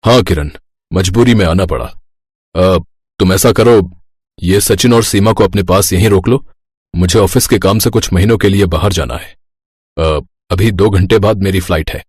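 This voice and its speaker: Guy doing cartoon husband, young, casual n evasive, lowish voice, very dry recording. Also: normal speed, a little mellow, husky, no room sound. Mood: hurried, evasive. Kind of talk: animated moral-story cartoon voice acting.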